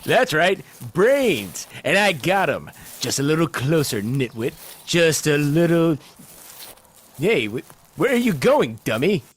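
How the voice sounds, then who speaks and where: Husky, wildly animated male squad leader actor. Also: fairly high pitch, slow pace, heavily acted. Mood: taunting. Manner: excitable, indignant, commanding. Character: bossy, insecure male zombie-hunting team leader. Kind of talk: comedic audio play acting.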